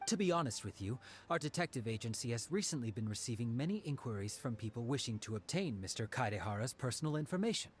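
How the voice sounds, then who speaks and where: A man voicing a lively, chatty detective. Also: mid-range voice, fast, few pauses, slight background noise, lightly acted. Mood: eager. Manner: eager, chatty, animated. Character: friendly chatty male detective agency worker. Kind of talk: video game dialogue.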